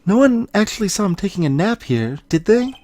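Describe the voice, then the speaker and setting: A woman's voice: medium pitch, very animated, fairly quick, dark tone, a little hiss or hum, natural voice. A woman voicing an eager, cheeky teenage girl sidekick, playful and curious, as a video game character.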